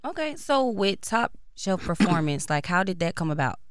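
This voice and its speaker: An upbeat woman hosts a radio interview, asking short questions in a smooth, clear voice that sits a little low. She is recorded dry and close at a studio mic. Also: very animated, normal speed, no room sound. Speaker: radio host.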